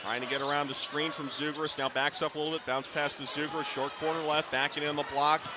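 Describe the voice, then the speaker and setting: A middle-aged man calling live basketball on radio in clipped running descriptions that can rise to excited calls. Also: on the high side, a little fast, very noisy. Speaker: play-by-play basketball announcer. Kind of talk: live radio sports commentary. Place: courtside in a basketball arena.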